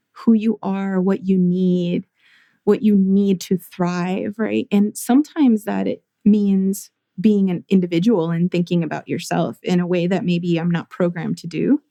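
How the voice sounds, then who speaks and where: A young adult woman from the United States, a therapist speaking as a podcast guest in a thoughtful, measured way with some restarts. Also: fairly low pitch, gravelly, warm tone, no echo, clean studio sound. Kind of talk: reflective interview conversation.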